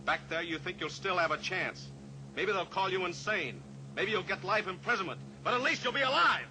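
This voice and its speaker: Steely cowboy pilot hero in old radio show, cool and taunting, highish voice, noisy recording. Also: male voice, lively intonation, brisk, pushed and bright, full character voice. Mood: grim, pressing. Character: steely heroic cowboy pilot, coolly pressuring a captive killer. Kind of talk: old-time radio drama acting.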